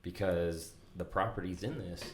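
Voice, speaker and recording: A man talking in a casual podcast conversation as a company CEO guest, earnest and reflective, with frequent pauses and restarts. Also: low voice, reverberant, a little hiss or hum.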